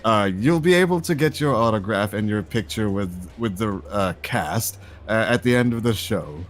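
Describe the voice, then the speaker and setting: Man voicing masked jackal villain, wry, halting, swinging intonation, livestream banter, music behind. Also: on the low side, animated, lightly acted. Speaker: livestream co-host. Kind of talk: in-character improvised livestream banter. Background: background music.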